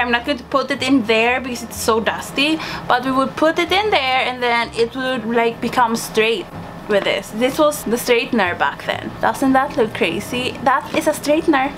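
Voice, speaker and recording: A woman's voice: high voice, fairly bright tone, rough recording. A young woman from Sweden chatting casually to camera in a playful, exclamatory, slangy way. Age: young adult. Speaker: vlogger showing childhood belongings.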